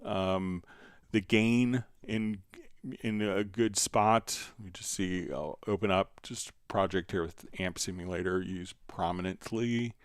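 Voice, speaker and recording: A man hosting a live software tutorial, answering viewer questions casually and thinking aloud in a halting way as he demonstrates. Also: low-pitched, fairly even intonation, clean tone, dry recording, studio quality.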